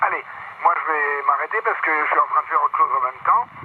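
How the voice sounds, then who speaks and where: A man's voice: a little high, quick pace, narrow, tinny audio. A man chatting casually as an amateur radio operator, his voice heard through a two-way radio. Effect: two-way VHF radio transmission. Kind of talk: casual ham radio chat. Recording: thin, very noisy VHF radio link.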